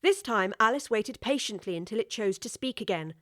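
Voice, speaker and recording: A woman's voice: medium pitch, animated, a little fast, pushed and bright, no echo. Woman narrating a storybook, close dry sound. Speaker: audiobook narrator.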